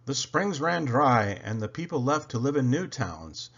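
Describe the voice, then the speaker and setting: An authoritative gentleman railway boss from a children's storybook, acted out by a man reading aloud. He explains and proclaims approvingly with lively, wide-ranging pitch. The recording is a bit dull. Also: moderate pace, creaky, heavily acted. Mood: proud, informative.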